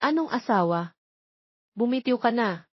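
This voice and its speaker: A woman voicing an indignant, tearful, sharp-tongued young pregnant single woman in a dramatized romance story. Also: a little high, expressive, unhurried, slightly rough, dry recording, muffled recording, voice pushed up. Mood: firm, cold.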